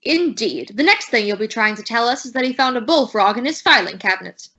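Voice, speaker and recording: A woman acts out a stern gentleman in authority for an old-time radio comedy. The voice is bright and slightly high, the wording formal and measured. The recording is a bit muffled. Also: expressive. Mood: disbelieving. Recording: dull lo-fi recording.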